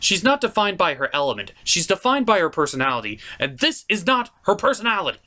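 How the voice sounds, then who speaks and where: A young man hosting a comedic cartoon review, theatrical and opinionated as he riffs. His voice sits a touch high and swings widely in pitch. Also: very animated, quick pace, sharp tone.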